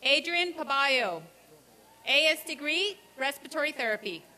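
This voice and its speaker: High, bright woman reading graduate names. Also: lively intonation, moderate pace. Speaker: program director announcing graduates. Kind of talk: formal reading of a graduate roll. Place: outdoor college commencement ceremony.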